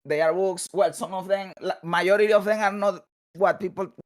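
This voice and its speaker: A man's voice: high voice, fairly even intonation, fairly quick. A man in his thirties chatting as a guest over a video call, enthusiastic and friendly, calling people dude and brother. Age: 30s.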